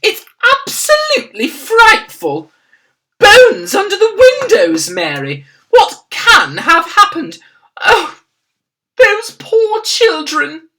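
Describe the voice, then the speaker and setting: A refined elderly queen with a posh, fluttery manner, as a woman reading aloud puts her on. The voice sits far above a normal speaking pitch and swoops widely. She draws her words out slowly. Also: wildly swooping pitch, a bit bright, heavily acted. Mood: horrified. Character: elderly queen, refined, easily alarmed, upper-class.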